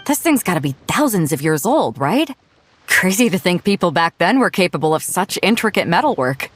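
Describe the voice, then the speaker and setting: A breezy, lively-toned captain. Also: female voice, fairly low pitch, a little fast, slight background noise. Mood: marveling. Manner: casual, hearty, plain-spoken. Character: breezy, confident, plain-spoken female ship captain. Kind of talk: scripted video game dialogue.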